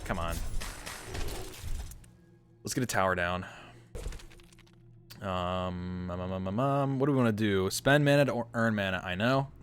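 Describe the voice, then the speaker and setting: A low-pitched man talks through his moves on a casual gaming livestream. He thinks aloud, answers chat and pokes fun at himself. Faint game music plays behind him. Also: fairly bright tone, clear, resonant tone. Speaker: streamer. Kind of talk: casual gameplay livestream commentary.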